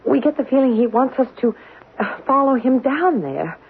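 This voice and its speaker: An actress playing an anxious young mother who fears a ghost in her house, speaking nervously with stammers and a breathy voice in a 1970s radio drama. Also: sits high, lively intonation, moderate pace, exaggerated voice. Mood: scared. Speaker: frightened homeowner. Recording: narrow-band old radio broadcast.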